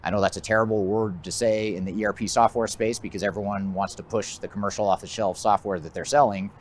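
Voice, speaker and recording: An American man giving a measured, methodical explanatory presentation as a business consultant, his phrases often trailing off at the end. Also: on the low side, fairly even intonation, slight background noise. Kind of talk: explanatory presentation of a trends list.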